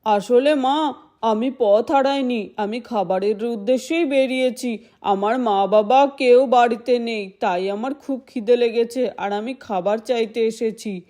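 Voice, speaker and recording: A woman's voice: on the high side, measured pace, dark tone, lightly acted. A woman voicing a polite, earnest little boy god disguised as a hungry child in a doll story. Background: music.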